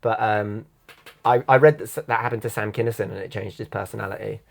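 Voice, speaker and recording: Animated, swearing comedian man. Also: on the low side, fast, gravelly. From Singapore. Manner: animated, swears freely, breaks into laughing bits. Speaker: comedian guest. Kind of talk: casual podcast chat.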